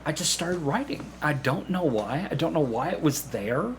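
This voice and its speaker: A man telling personal anecdotes in casual conversation, haltingly, repeating phrases and acting out little asides. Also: a little high, lively intonation. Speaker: podcast guest, amateur actor. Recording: clearly audible background room noise.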